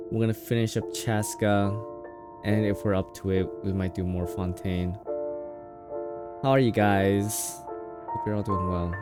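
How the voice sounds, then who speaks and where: Low-voiced male game streamer, tired and rambling. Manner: rambling, playful teasing. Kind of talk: casual livestream chat. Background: game music.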